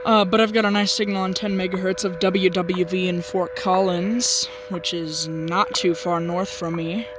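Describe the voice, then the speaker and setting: A shortwave radio hobbyist giving casual, halting commentary on what the receiver picks up. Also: medium pitch, flat delivery. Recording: loud shortwave static and radio noise. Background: shortwave radio static and broadcasts.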